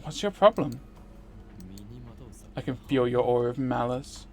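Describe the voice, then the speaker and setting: A man's voice: mid-range voice, expressive, measured pace. A man voicing a proud, cold eighteen-year-old girl genius from a visual novel, curt and indignant. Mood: irritated.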